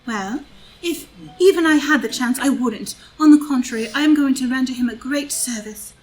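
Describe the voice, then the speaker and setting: A woman's voice: high-pitched, moderate pace, darkish tone, natural voice, rough recording. A woman playing a scheming, thieving, haughty society lady in a theatrical audio drama reading of a stage play. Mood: composed, sly.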